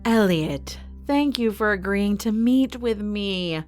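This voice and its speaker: A woman's voice: medium pitch, animated, takes it slowly, a little mellow. A woman playing a composed, imperious villain who runs a fantasy fight arena in an audio drama. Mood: cold menace. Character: cold, commanding female villain running a fantasy fight arena. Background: music.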